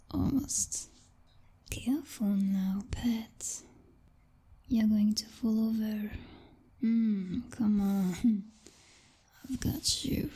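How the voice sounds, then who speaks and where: A woman's voice: on the high side, warm tone, breathy edge, full character voice. A woman playing a possessive vampire girlfriend in an intimate ASMR roleplay, whispering breathily in a teasing, dominant, coddling purr. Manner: slow, purring, teasing, condescending pet names.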